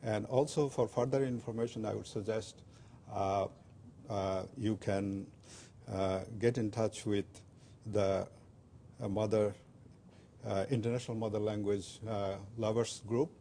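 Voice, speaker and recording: An older man from Bangladesh whose first language is Bengali, a guest on a community TV interview, speaking haltingly with many fillers and restarts. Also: on the low side, steady tone, dark tone, a little hiss or hum.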